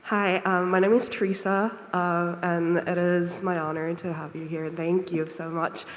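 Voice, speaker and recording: A woman's voice: lowish voice, little pitch movement, measured pace, a little hiss or hum. A woman from Japan asking a long, winding question from the audience, hesitant and full of fillers. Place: lecture hall, audience microphone. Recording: reverberant hall sound.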